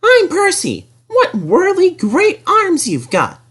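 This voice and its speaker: A cheeky little tank engine, young and easily worked up, chirps in a squeaky voice pitched far above a man's usual register. The pitch swings widely from phrase to phrase. It sounds like an animated storybook reading. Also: slow, full character voice. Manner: high squeaky excitable voice.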